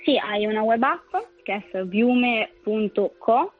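A woman's voice: fairly high pitch, slow, no echo. A woman from Italy speaking Spanish with an Italian accent, a company founder being interviewed over the phone, hesitant, with many fillers and restarts. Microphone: phone line. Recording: tinny phone line under music.

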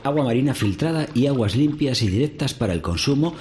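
An actor with a Castilian Spanish accent playing a world-weary middle-aged seaweed farm worker in conversational radio drama. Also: male voice, medium pitch, lively intonation, a little fast, darkish tone, close to an everyday voice. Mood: resigned, cynical. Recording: faint background noise and ambience.

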